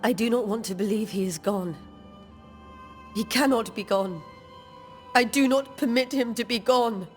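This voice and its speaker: Grieving widow of colonial times played by a woman: haunted, formal, very unhurried delivery, light music behind. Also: fairly high pitch, close to an everyday voice. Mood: anguished. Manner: sorrowful, deliberate, formal. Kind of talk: video game cutscene acting. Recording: music under the dialogue.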